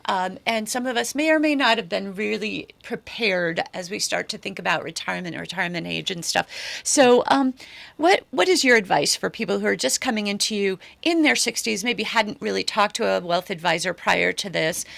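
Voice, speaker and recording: A woman's voice: medium pitch, expressive, a little fast, vocal fry, a bit bright, dry recording. A woman in her sixties with an American accent, hosting a conversational interview show in a studio. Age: 60s. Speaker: radio show host.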